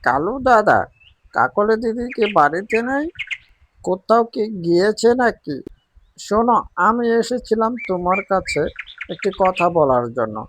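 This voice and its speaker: A man's voice: sits high, very animated, a little slow, a bit bright, a little hiss or hum, heavily acted. A man voicing a friendly, eager male tailorbird in a cartoon, earnest and coaxing. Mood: polite, eager.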